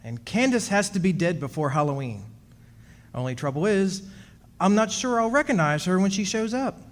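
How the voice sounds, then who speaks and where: A man's voice: high voice, expressive, normal speed. An actor playing an uneasy immortal man, telling his story in a confiding, conversational way in a radio drama revival. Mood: confiding, grim. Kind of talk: stage revival of 1940s radio drama narration.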